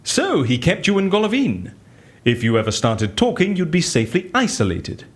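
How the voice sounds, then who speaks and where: A man's voice: fairly high pitch, huge pitch swings, normal speed. An actor playing a cocky, inquisitive male professional in an audio drama, theatrical and probing in his questions.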